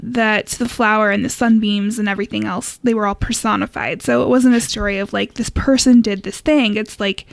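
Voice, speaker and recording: A woman's voice: medium pitch, fairly even intonation, a little fast, creaky, few pauses, dry recording. A woman hosting a podcast in casual, reflective conversation. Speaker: podcast host. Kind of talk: casual chat.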